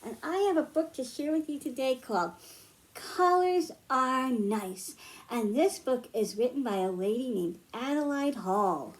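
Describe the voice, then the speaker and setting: A woman's voice: high voice, animated, unhurried. An American woman speaking as a children's librarian at storytime, reading aloud and explaining things simply to young children. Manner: simple, child-directed phrasing with questions to listeners. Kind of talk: picture-book read-aloud and simple teaching for young children.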